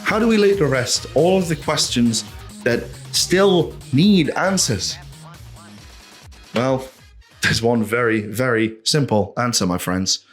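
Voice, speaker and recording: A man giving a casual, opinionated video essay in an animated, ranting manner, full of rhetorical questions and swearing. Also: medium pitch, takes it slowly, soft background music. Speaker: host of a video essay channel.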